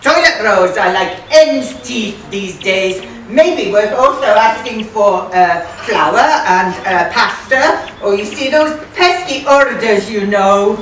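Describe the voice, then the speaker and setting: A woman's voice: mid-range voice, lively intonation, slow, hardly any pauses, a bit bright. A woman acting a dim, nervous, servile henchman in a pantomime, stammering and hesitant. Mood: obliging. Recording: echoey room, distant mic, faint noise.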